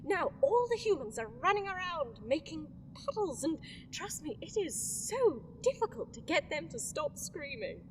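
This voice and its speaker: A woman's voice: very high voice, very animated, normal speed, a little hiss or hum. A woman playing a scheming, mocking villainous empress in an audio drama. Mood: taunting. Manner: taunting, sing-song, petulant. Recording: reverberant room, faint ambience.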